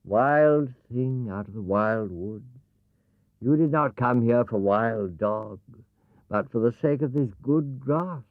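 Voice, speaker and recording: A man's voice: mid-range voice, no echo, pitched above the performer's own voice. Man voicing a commanding storybook cave woman, thin sound. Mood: coaxing, commanding. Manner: deliberate, incantatory. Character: clever, confident cave woman, commanding wild-animal tamer. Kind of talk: children's storybook reading. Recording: thin, narrow-band vintage cassette sound.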